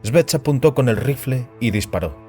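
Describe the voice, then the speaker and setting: A man's voice: mid-range voice, expressive, normal speed. A man reading a science fiction story as a scripted, dramatic audiobook narration. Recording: music under the voice. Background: background music.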